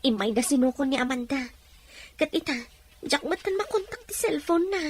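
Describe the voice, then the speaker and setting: A woman's voice: sits high, expressive, normal speed. A woman playing a worried, caring young female friend in an audio drama, earnest and anxious.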